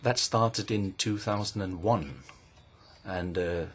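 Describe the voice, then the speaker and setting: Guy giving testimony at a hearing, lowish husky voice, lots of pauses. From Norway. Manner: measured, deliberate, with frequent pauses and hesitations. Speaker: invited speaker at a parliamentary hearing. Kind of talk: formal testimony.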